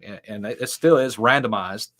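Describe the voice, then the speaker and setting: A man's voice: fairly low pitch, moderate pace, fairly bright tone. Rambling middle-aged man telling stories. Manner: rambling storyteller with frequent fillers and laughs. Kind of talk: casual interview talk.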